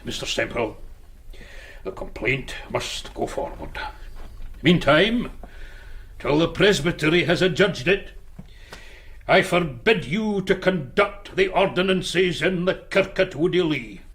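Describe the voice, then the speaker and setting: A man's voice: a little high, expressive, takes it slowly, long pauses, a bit bright, slight background noise, heavily acted. A man playing a stern, pompous senior Scottish churchman in a radio drama, scolding in formal archaic speech. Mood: stern, weighty. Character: stern, blustering senior Scottish churchman presiding over an inquiry.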